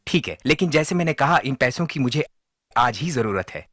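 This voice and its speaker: A man's voice: medium pitch, lively intonation, normal speed, fairly bright tone, dry recording, studio quality. A man playing a loyal merchant friend in need in a moral-story cartoon, earnest and polite. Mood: urgent, pleading. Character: male merchant friend, needy, grateful and loyal. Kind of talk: animated moral-story dubbing.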